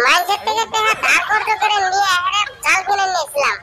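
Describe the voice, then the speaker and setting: A man voicing an aggrieved, sarcastic village fisherman in a comic cartoon, with a rural Bengali dialect and a pitch-raised squeaky voice. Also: expressive, fast, a crowd in the background. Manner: complaining, scolding, sarcastic. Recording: loud background noise.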